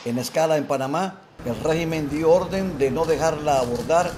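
A male reporter reading a formal, measured news voice-over. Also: on the high side, noisy background.

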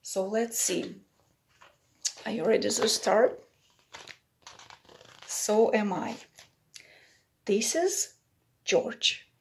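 A woman's voice: mid-range voice, drawn-out words, gravelly, pauses often, a little hiss or hum. A middle-aged woman reading a picture book aloud to young children, explaining it simply and putting questions to the listeners. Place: small plain room indoors.

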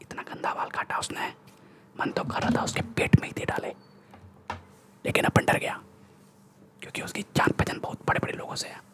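A man's voice: moderate pace, long pauses, fairly bright tone, close to an everyday voice. A young man with an Indian accent playing a cocky, threatening street gangster in a whispered ASMR roleplay, speaking bossy street slang close to the microphone. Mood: complaining. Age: young adult. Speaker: ASMR performer. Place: small room indoors. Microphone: close-up large desktop microphone.

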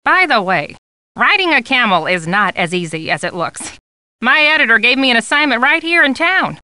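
A woman's voice: a little high, big pitch swings, moderate pace, pushed and bright, dry recording, heavily acted. An actress playing a chatty, scatterbrained woman photojournalist who gushes and rambles, in a video game. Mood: chatty, informative. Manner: gabby, gushing, rambling. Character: chatty, warm, scatterbrained woman photojournalist, easily carried away. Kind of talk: video game character dialogue.

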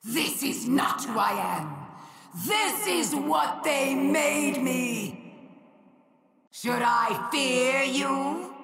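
An actress voicing an imperious, vengeful, ancient alien villain in a video game, speaking with grandiose, contemptuous theatrical menace. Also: female voice, high voice, gravelly, background noise, full character voice. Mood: cold contempt. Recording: echoing game voice processing.

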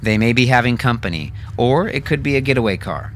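A man voicing a brisk, businesslike military captain who gives clipped, authoritative orders in an audio drama. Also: mid-range voice, expressive, slight background noise, voice pushed up, natural voice.

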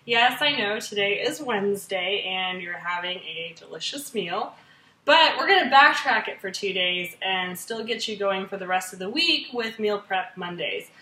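A woman's voice: a little high, bright, forceful tone. An American woman in her thirties giving a casual, chatty, informal cooking demo to camera in her home kitchen, as a fitness host. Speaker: fitness gym trainer hosting a meal prep series. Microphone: camera mic a few feet away. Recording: echoey kitchen, mic at a distance.

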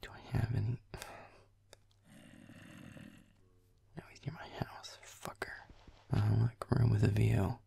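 A man playing a grumpy, sarcastic werewolf boyfriend in an audio roleplay, muttering irritably over a game in a hushed, gravelly voice. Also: fairly low pitch, flat delivery, moderate pace, in a whisper. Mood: irritated, muttering. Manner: sardonic, irritable, swearing under breath.

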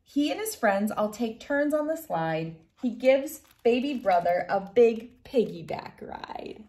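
A woman in her thirties reading a picture book aloud in an expressive storytelling manner for young children. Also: a little high, slow. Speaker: children's book reader and host. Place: living room at home, indoors.

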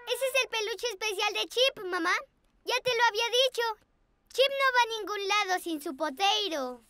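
A performer voicing an eager, earnest little boy panda cub in a children's cartoon, excitable and childlike. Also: very high-pitched, moderate pace, dry recording, full character voice. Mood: explaining. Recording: music behind the voice.

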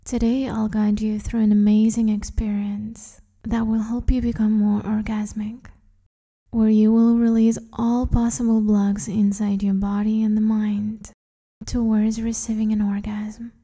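A woman guiding a meditation in a soft, breathy half-whisper, soothing and gently instructing the listener. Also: medium pitch, flat delivery, unhurried. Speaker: meditation guide, host. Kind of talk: guided meditation, hypnosis-like.

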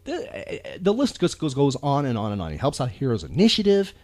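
A man's voice: mid-range voice, wildly swooping pitch, brisk, a little mellow, dry recording. An American man co-hosting a podcast in a casual, emphatic, earnest and rambling way. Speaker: podcast co-host. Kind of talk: casual chat.

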